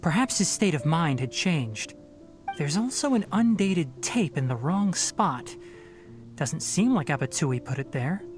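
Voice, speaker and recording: An actor playing a calm young male forest ranger and scholar who thinks aloud while examining old tape records. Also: on the high side, measured pace, over music. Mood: thoughtful. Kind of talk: video game voice acting.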